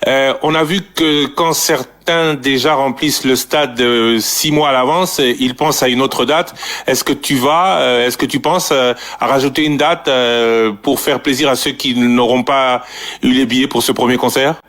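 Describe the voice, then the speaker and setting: A man interviewing on the radio, putting questions in a halting, deliberate way. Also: medium pitch, fairly even intonation, a bit bright.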